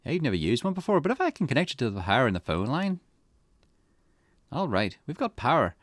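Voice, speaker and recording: A man's voice: mid-range voice, sing-song, a little fast, no room sound, clean studio sound. A man voicing a curious, puzzled investigator who thinks aloud in a visual novel, musing and questioning. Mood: curious, excited. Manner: musing, questioning, swooping intonation. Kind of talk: visual novel character reading. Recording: music behind the voice.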